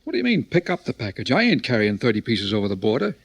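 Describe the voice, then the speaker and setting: Nervy middle aged crook, talks fast, grumbles in slang, 40s radio drama. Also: male voice, medium pitch, wildly swooping pitch. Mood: indignant. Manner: wary, complaining, slangy. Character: grumbling, nervy middle-aged male American drug buyer, Sonny. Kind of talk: 1940s radio crime acting.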